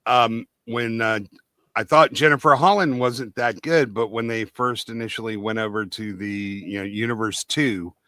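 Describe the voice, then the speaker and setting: Bright-voiced man, halting chat. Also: mid-range voice, dry recording, studio quality. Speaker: panellist on a pop culture show. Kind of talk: casual chat.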